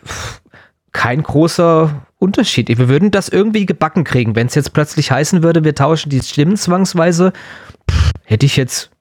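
A man's voice: a little high, expressive, moderate pace, darkish tone, no echo. Man bantering on a podcast, dry sound. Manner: joking, colloquial. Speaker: podcast co-host. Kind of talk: casual chat, bantering Q&A.

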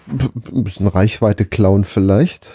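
A man's voice: lowish voice, a little slow, gravelly, mellow, husky. A man co-hosting a podcast, chatting casually and jokingly. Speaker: podcast co-host.

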